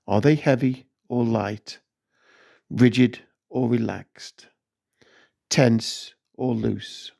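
Very slow-paced man guiding a meditation. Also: medium pitch, creaky, darkish tone. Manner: measured, instructional, with short separate phrases. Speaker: meditation guide. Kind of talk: scripted guided meditation narration.

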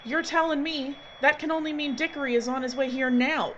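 A woman's voice: sits high, fairly even intonation, brisk. A woman playing a tense, bossy filmmaker in a horror adventure drama, talking in clipped, urgent commands. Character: tense, bossy female filmmaker trapped in a frozen station. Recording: dull audio with loud background noise.

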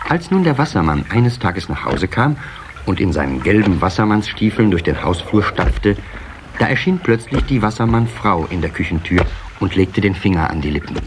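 A man narrating a children's fairy tale as a measured, expressive storyteller in an audio play. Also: deep voice, noisy background. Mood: storytelling.

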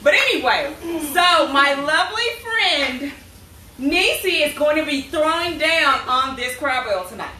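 Lively high-voiced woman hosting. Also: a little hiss or hum. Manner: lively, teasing, quick bursts. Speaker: host of a cooking show. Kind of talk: casual chat with friends on camera. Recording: echoey room, far from the mic.

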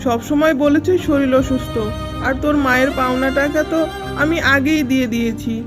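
A haughty cartoon tigress boss, voiced by a woman, nags in a high voice. She is curt, stingy and bossy, with music playing faintly behind her. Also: steady tone, fairly quick, lightly acted. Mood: dismissive. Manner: nagging, curt. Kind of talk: Bengali children's cartoon dubbing.

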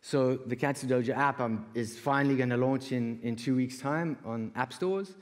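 A middle-aged man with a South African accent giving a stage talk, telling personal stories with pauses and repeated words. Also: medium pitch. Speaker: conference speaker, creative entrepreneur. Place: large indoor auditorium stage. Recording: reverberant auditorium hall.

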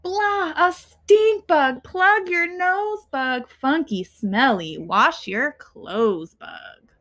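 A young woman reads aloud for children in a fairly high voice. She goes very slowly, setting off each short phrase with a pause and clear emphasis. It is an expressive storytime delivery, recorded in a small living room at home. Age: young adult. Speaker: teacher reading a picture book to children.

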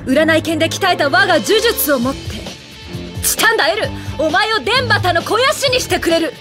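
A woman's voice: very high voice, animated, moderate pace. A woman playing an imperious, haughty teenage sorceress, declaiming pompously in a comedic anime audio drama. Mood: fierce incantation. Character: imperious teenage sorceress, haughty and grandiose rival clan heiress. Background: music.

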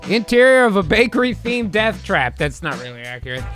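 A man's voice: high-pitched, very animated, a little fast, fairly bright tone, faint music behind. A man hosting an improv show, chatting casually and reading out script as narrator. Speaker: host and narrator. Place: indoor home streaming setup.

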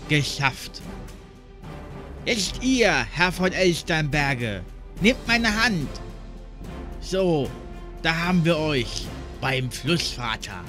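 Guy acting as young boatman in a fantasy radio play, highish animated voice, reassuring, music behind. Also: extremely slow, pauses often, full character voice. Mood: calm, focused. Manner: urgent, reassuring, giving short orders. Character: young river boatman, steady and helpful, reassuring. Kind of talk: fan-made fantasy audio drama acting.

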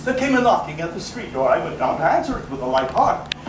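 A man's voice: fairly high pitch, big pitch swings. Cunning gleeful madman, gothic horror stage recitation, emphatic, theatrical, distant mic, noisy small-room recording. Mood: feigned calm, cheery. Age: older. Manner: melodramatic, emphatic, deliberate phrasing with sudden swells. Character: gleeful mad murderer, male, boastful, cunning, nervy. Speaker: actor giving a dramatic reading. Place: small brick-walled cafe room, indoors. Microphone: camera a few metres away. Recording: echoey, noisy handheld camera from audience.

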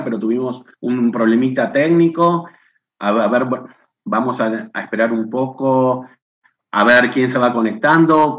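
A middle-aged Argentine man speaking into a webcam from a room at home, hosting a live online session. His voice sits fairly low, and he takes his time, chatting warmly as he greets viewers one by one by name. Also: highs cut off. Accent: Argentine Spanish. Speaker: webinar host. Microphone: webcam on video call.

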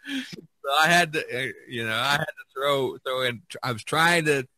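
A man's voice: mid-range voice, measured pace, sharp tone, dry recording, rough recording. A middle-aged man hosting a podcast, chatting casually in a rambling, jokey way over an online call. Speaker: podcast host. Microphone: online video call.

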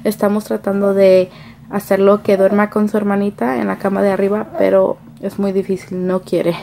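A woman's voice: mid-range voice, level tone, normal speed, creaky, noisy background. A woman of about thirty chatting casually as a family vlogger and mother, informal and switching between languages. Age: around 30. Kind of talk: casual vlog chat, talking to camera and children.

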